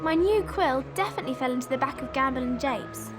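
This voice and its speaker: A woman's voice: sits high, lively intonation, fast talker. A woman playing an earnest, slightly forlorn little girl witch in a video game. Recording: music behind.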